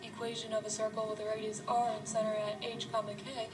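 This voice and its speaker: A puppet explainer, played by a woman, recites maths like a textbook in a slightly high voice. It sits back from the mic under clear music. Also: completely flat delivery, fast, natural voice. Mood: explanatory. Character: friendly sock puppet explaining circles to kids. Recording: distant mic, music over voice.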